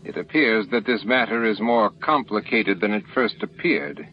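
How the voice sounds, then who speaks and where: A man voicing an authoritative male alien chief, smooth, measured and formal. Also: fairly low pitch, lively intonation, close to an everyday voice. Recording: dull lo-fi audio, faint noise.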